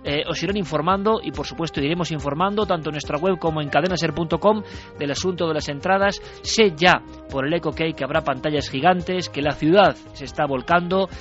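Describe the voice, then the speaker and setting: A man's voice: a little high, brisk, lo-fi sound, soft background music. A man with a Spanish accent hosting a radio show, speaking in a passionate, rhetorical monologue. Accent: Spanish from Spain. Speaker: radio host. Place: radio studio.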